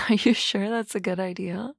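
A woman voicing a sardonic, teasing office-lady boss in an ASMR roleplay, with dry banter and soft whispery asides on a close mic. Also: medium pitch, fairly even intonation, moderate pace, vocal fry, dry recording.

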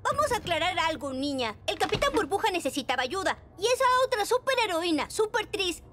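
A woman voicing a bossy, grumpy cartoon penguin newspaper editor, brisk and dramatic. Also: very high-pitched, very animated, very noisy. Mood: grumpy, demanding. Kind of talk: dubbed children's cartoon acting.

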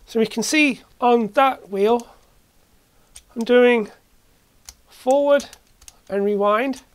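A woman narrating a hands-on repair demonstration casually, thinking aloud in short, halting phrases. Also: fairly high pitch. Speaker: hobbyist repair video maker. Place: indoor workshop at a workbench.

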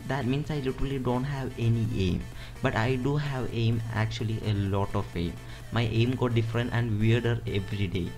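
A man's voice: medium pitch, a little mellow. A man in his twenties from Kerala whose first language is Malayalam, chatting casually as he answers viewer questions over mobile gameplay. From Kerala, South India. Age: 20s. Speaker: gaming YouTuber. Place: indoors, playing on a phone.

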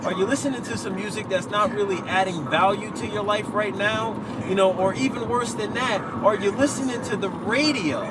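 A man's voice: sits high, moderate pace, few pauses. A young man giving an upbeat, earnest motivational talk to camera while driving, speaking into an earphone mic. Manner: upbeat, earnest, repeats himself. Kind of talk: casual motivational vlog. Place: inside a moving car. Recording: loud road and engine noise.

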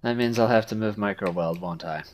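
A man's voice: on the low side, fairly quick, a little hiss or hum. A man giving casual, playful gaming commentary as he chats and teases a friend. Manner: playful, teasing. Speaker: gaming video host. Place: indoor room at a computer.

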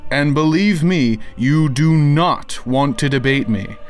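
A man's voice: a little high, animated, takes it slowly, faint music behind. A man doing a dramatic reading as a pompous, indignant internet crank with an overly formal, theatrical delivery. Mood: haughty, menacing. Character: pompous, indignant male internet crank issuing grandiose demands. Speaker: narrator of read-out messages. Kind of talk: dramatic reading of written messages.

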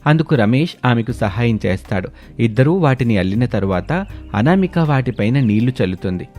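Male narrator for cartoon tales; mellow, dark tone, steady delivery. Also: medium pitch, fairly quick, faint music behind. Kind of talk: scripted storytelling narration.

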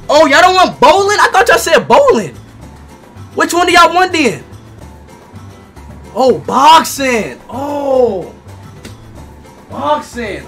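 A young man livestreaming a game, excitable and loud, talking straight to his chat and repeating himself. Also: high voice. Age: young adult. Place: bedroom streaming setup, indoors.

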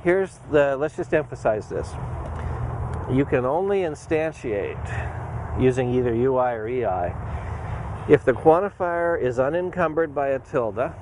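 A man teaching a logic lesson, patiently working through each step of a proof. His voice sits in a middle pitch. A steady background noise runs under the recording. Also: measured pace. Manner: patient, emphatic step-by-step explaining. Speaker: logic instructor. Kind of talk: explaining proofs at a whiteboard.

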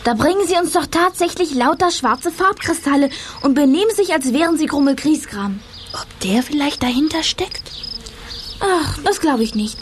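An earnest young girl in a children's radio play. The voice is high. Faint buzzing ambience sits behind it. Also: animated, normal speed, slight background noise. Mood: determined. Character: earnest, bright young girl in fairy-tale land. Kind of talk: German children's audio play acting.

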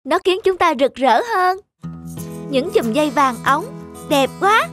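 A woman voicing a cheerful, optimistic older-sister peach tree in a cartoon, speaking in a gentle, soothing manner. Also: high-pitched, expressive, measured pace, faint music behind. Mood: delighted. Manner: soothing, wondering. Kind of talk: animated cartoon dialogue.

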